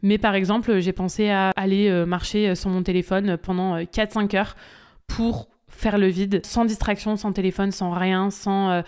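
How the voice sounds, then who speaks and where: Young woman podcaster, smooth clear voice, chatty and direct, lots of euhs. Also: medium pitch, fairly even intonation, moderate pace, darkish tone. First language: French. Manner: chatty, direct, many fillers, talks straight to listeners. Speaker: podcast host, social media business coach. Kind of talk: casual solo podcast, spontaneous answers.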